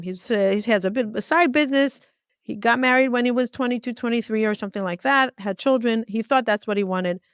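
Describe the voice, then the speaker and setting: A woman hosting an interview, who asks probing questions and pushes back to make points clear. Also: a little high, dry recording, studio quality. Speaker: interviewer, host.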